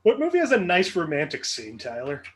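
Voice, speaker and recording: A man's voice: fairly high pitch, wildly swooping pitch, brisk, a bit bright. A man with a Midwestern accent hosting a trivia game, reading clues with playful, dry humor. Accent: Midwestern American. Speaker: game show host.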